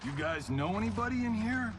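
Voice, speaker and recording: An actor playing a cocky, flippant movie star turned reluctant fighter in a video game cutscene. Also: male voice, sits high, animated, brisk, background noise. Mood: wry, incredulous. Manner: flippant, slangy. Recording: loud game soundtrack behind.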